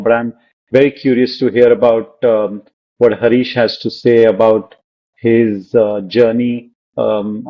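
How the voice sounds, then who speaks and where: A man hosting an interview, asking curious, probing questions and rephrasing them as he goes. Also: mid-range voice. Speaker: podcast host. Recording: dull lo-fi sound.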